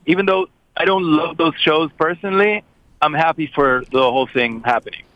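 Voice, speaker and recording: Male host, fairly high voice, hesitant and reflective, dull dry lo-fi recording. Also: fairly even intonation, moderate pace, no room sound. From Israel. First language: Hebrew. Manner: thoughtful, hesitant with repeated restarts. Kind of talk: casual discussion.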